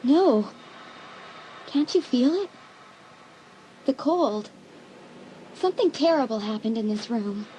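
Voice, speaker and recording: A woman's voice: a little high, lively intonation, slow pace, pauses often, warm tone, low-quality recording. A woman playing an uneasy, withdrawn teenage girl in a horror audio drama, hesitant and apprehensive. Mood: frightened. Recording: faintly hissy old cassette.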